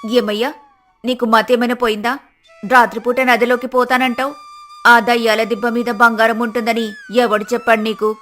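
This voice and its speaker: A woman playing a sharp-tongued, worried village wife in a moral story, scolding in exasperation. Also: on the high side, level tone, moderate pace, soft background music, natural voice.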